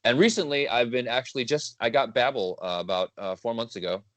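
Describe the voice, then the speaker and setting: A man's voice: medium pitch, fairly quick, fairly bright tone, no room sound. A man guest composer in a casual interview chat, rambling, laughing easily and correcting himself as he goes.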